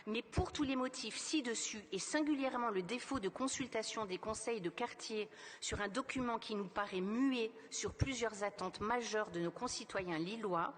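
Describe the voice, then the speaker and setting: A woman with an accent from France reads a formal political speech as a councillor, in measured, carefully built phrases. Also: on the high side. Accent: French from France. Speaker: city councillor giving a speech. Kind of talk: formal scripted political speech, read aloud. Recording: reverberant hall sound.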